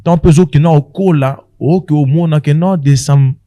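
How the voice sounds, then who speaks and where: A man's voice: a little high, fairly quick, dark tone, no room sound. A man with a Congolese accent chatting casually as a comedian guest on a podcast, switching between languages and telling stories with repeated words.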